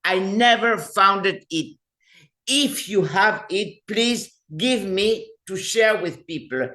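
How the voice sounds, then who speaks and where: Slow, hesitant woman panelist. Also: medium pitch, animated, sharp tone. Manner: slow, hesitant, with many fillers and restarts. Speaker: professor and panelist. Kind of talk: panel remarks on a video call. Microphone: video call.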